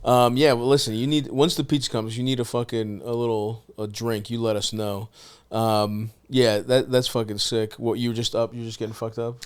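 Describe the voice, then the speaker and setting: A man's voice: mid-range voice, little pitch movement, normal speed, few pauses, clear, resonant tone. A man hosting a podcast in casual chat, laid-back and profane, filling gaps with constant "yeah, yeah". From United States. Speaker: podcast host.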